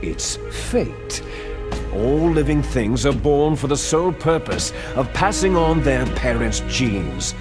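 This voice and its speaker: An actor playing a cold, scheming male villain who lectures slowly and menacingly in a video game cutscene. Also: mid-range voice, very animated. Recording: game audio with music behind.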